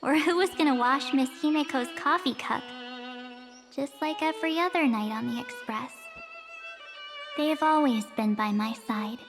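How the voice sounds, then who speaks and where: A woman playing a cheerful, sentimental young girl in a video game story, speaking softly and earnestly. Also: high-pitched, moderate pace. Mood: wistful, tender. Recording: music behind the voice.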